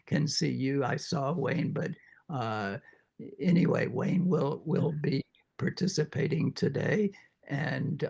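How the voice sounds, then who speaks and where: Older male lecturer, husky and croaky, slightly high voice, halting delivery. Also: darkish tone. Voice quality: croaky after throat surgery. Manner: halting, many uhs and restarts. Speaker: literature professor leading a reading group. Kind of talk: informal lecture.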